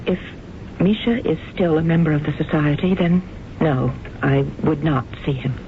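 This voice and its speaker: A woman's voice: deep voice, normal speed, slight background noise. An actress playing a celebrated stage actress haunted by her past, in a 1970s radio drama. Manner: hesitant, stammering when shaken. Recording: tinny old radio broadcast with music.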